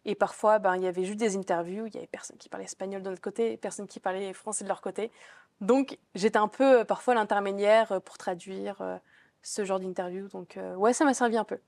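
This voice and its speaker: A woman's voice: mid-range voice, steady tone, a little fast, vocal fry, a little mellow. A young woman student answering interview questions casually, with lots of fillers and restarts. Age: young adult. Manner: chatty, many fillers and restarts. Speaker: business school student.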